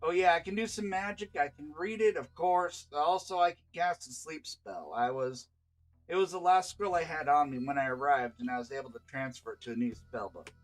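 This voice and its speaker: A man's voice: a little high, normal speed, soft background music, natural voice, poor audio. A man voicing a laid-back, cocky elf adventurer with a carefree, rambling Southern US drawl. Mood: casual, confident.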